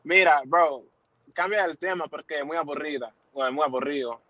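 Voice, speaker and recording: A brash, teasing man who drops "bro" into nearly every sentence, his voice sitting a little high for a man. The sound is bone-dry and slightly dull, like a modest microphone close up. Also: brisk, pushed and bright, dry recording. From Dominican Republic. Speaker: participant. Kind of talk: casual chat.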